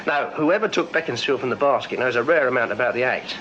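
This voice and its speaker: A man's voice: medium pitch, animated, fast talker, a bit bright, muffled recording, slight background noise, lightly acted. An actor playing a meek, earnest music-hall strongman and dutiful son, with an English accent, in a radio drama. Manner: apologetic, earnest, plaintive. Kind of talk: full-cast radio drama acting.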